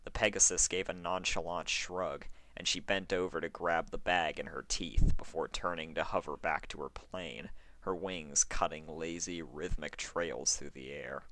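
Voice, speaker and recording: A man's voice: rumbling low, fairly even intonation, normal speed, pushed and bright. A man with a sore throat reading fan fiction as a scripted story narration.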